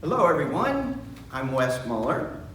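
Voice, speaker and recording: A man's voice: on the high side, sing-song, measured pace, a bit bright. A man addressing a congregation as a lay worship associate and reciting liturgy. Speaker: board member and worship associate. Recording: very echoey room, distant mic, faint noise.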